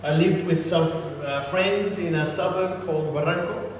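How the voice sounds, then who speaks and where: A man's voice: high voice, a little slow. A man interpreting a sermon in short consecutive phrases, restarting and repeating words as he translates. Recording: echoey hall, distant mic, noisy.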